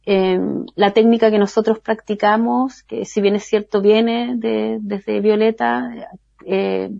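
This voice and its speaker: A woman with a Chilean accent, a guest speaking slowly and thoughtfully with many pauses and fillers. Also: mid-range voice, flat delivery, creaky, mellow, no room sound, muffled recording. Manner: slow, thoughtful, many hesitations. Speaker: guest from a community cultural center. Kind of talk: remote interview talk.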